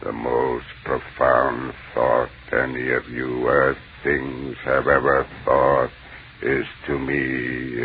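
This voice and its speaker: An actor playing an ancient, contemptuous, menacing alien mind in old-time radio horror, speaking in slow, pompous pronouncements. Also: male voice, a bass voice, creaky, full character voice. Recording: muffled, narrow-band old radio broadcast with hiss.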